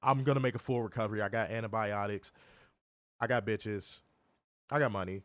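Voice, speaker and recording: A young man co-hosting a wrestling review stream, hoarse from illness, cursing and joking straight to his viewers. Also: on the low side, a little fast, fairly bright tone, no room sound, studio quality. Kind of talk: casual live-stream chat. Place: home, in Chicago.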